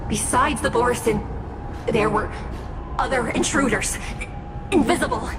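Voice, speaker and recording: A woman voicing a timid mechanical bird that houses a girl's spirit in a game, with a halting, glitchy stutter. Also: on the high side, noisy background, lightly acted. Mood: hesitant, concerned. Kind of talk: dramatic video game dialogue. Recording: loud music behind the voice.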